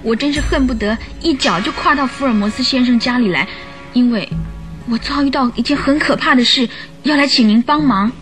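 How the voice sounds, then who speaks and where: Radio drama heroine: young, troubled gentlewoman, higher register, courteous and timid, faint music behind. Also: fairly quick. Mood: anxious. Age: young adult. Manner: polite, hesitant. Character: frightened, polite, sorrowful young gentlewoman seeking help. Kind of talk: Chinese radio drama acting.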